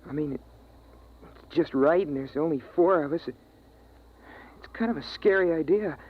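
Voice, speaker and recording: A man's voice: fairly high pitch, moderate pace, lightly acted. An actor playing a hesitant young boy. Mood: wistful. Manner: hesitant, stammering. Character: young boy, nervous and wondering. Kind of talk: 1950s radio drama. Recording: dull old radio transcription.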